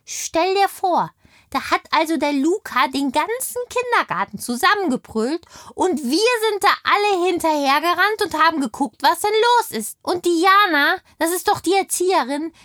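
A woman's voice: very high voice, animated, moderate pace, a bit bright, no room sound, studio quality. A woman voicing a chatty, indignant little kindergarten girl in a children's audio play, telling things with childlike eagerness. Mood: eager.

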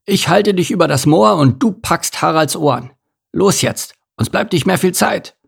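Storybook bear, played by a male reader: big-hearted but bossy, gravelly growl, blunt orders. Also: expressive, normal speed. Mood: urgent, commanding. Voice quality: deep, growly. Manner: blunt, commanding. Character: deep gruff bossy male bear, good-hearted rescuer. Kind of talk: children's storybook narration.

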